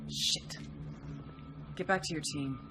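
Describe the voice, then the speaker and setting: Low-voiced tough female agent. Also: slow pace, over music. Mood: controlled, pressing. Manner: direct, controlled. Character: tough, bargaining intelligence agent. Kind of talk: video game acting. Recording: noisy scene, clear background noise.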